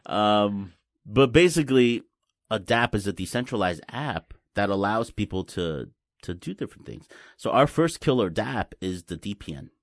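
Deep-voiced man explaining things haltingly. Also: expressive, no echo, studio quality. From Taiwan. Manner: halting, repeats words, explains simply. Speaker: guest, blockchain company representative. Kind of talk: casual interview chat.

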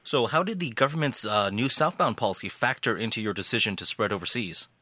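A man's voice: fairly low pitch, fairly quick, pushed and bright, no echo. A man interviewing a business guest, asking plain, polite questions.

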